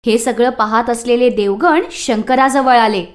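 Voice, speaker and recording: A woman narrating a scripted mythological story for children in an expressive, dramatic way. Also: a little high, a little slow.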